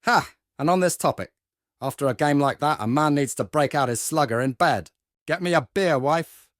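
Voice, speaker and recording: A man's voice: on the high side, normal speed, no room sound, studio quality, lightly acted. A man voicing a crude, foul-tempered, self-pitying husband in a manga dub, talking belligerently. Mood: boorish.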